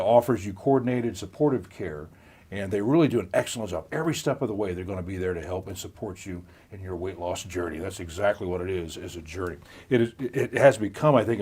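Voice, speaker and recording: A man's voice: fairly low pitch, darkish tone, slightly rough. A middle-aged man moderating a live online health chat in a folksy, chatty way. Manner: chatty, folksy, with frequent restarts and laughs. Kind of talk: live-streamed hosted interview.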